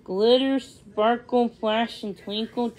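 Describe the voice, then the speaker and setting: A woman reads a children's storybook aloud. Her voice sits a little high and she goes very slowly, often halting between words as she follows the text. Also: steady tone, darkish tone, a little hiss or hum, rough recording. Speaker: read-along storyteller.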